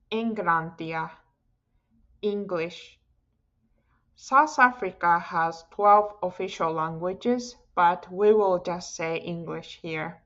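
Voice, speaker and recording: Slow, careful woman teaching Finnish. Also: mid-range voice, fairly bright tone, dull audio. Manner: slow, careful pronunciation drills, repeating each word. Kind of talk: slow scripted language lesson.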